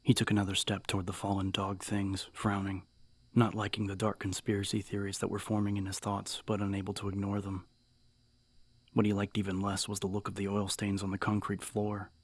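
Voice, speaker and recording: A man narrating dramatic fiction as an audiobook, with measured, suspenseful delivery. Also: lowish voice, completely flat delivery, husky.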